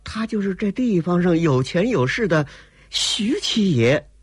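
A male storyteller voicing a soft, pleading young courtesan. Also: high voice, big pitch swings, unhurried, slightly rough, no echo, pitched above the performer's own voice, exaggerated voice. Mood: worried. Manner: coaxing, tearful pleading.

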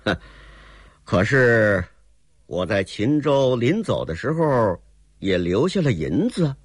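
A male storyteller voicing a proud, quick-tempered young gentleman who talks brusquely. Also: mid-range voice, sing-song, husky, dry recording, studio quality, heavily acted. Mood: scoffing. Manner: brusque, scoffing.

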